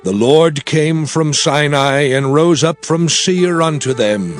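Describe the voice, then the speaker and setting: Slow, high-pitched old prophet. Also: male voice, faint music behind. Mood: reverent. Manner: solemn, declamatory, slow and deliberate. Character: Moses, solemn old male prophet blessing and exhorting his people. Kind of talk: dramatized scripture reading.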